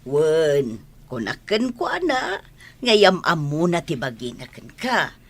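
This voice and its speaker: A woman acting an ailing, affectionate elderly mother with a husky voice in a radio comedy drama. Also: low voice, sing-song, takes it slowly, heavily acted. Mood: chatty, proud.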